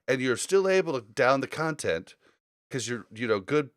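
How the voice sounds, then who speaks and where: Guy rambling on a gaming podcast, really animated pitch, dry close sound, opinionated. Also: moderate pace, dry recording. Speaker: podcast host. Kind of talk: casual gaming podcast chat.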